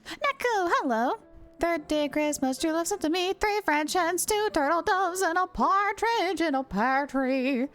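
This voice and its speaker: A woman's voice: very high voice, lively intonation, unhurried, fairly bright tone, soft background music. A woman live-streaming a game, chatting casually with viewers in a bubbly, giggly manner.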